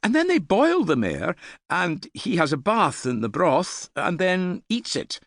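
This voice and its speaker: A man's voice: high-pitched, huge pitch swings, a little slow, fairly bright tone, no room sound, clean studio sound, voice pushed up, exaggerated voice. A man voicing an eager, naive boy retainer in an audiobook, blurting out his words with some hesitation. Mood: eager, embarrassed. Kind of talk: audiobook character dialogue.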